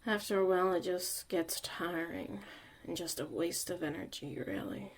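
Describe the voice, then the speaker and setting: A woman acting a gruff, guarded but secretly caring young mage mentor in a zombie apocalypse ASMR roleplay, soft-spoken and often near a whisper. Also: lowish voice, expressive, moderate pace, slight background noise, close to an everyday voice, low-quality recording. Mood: defensive, softening.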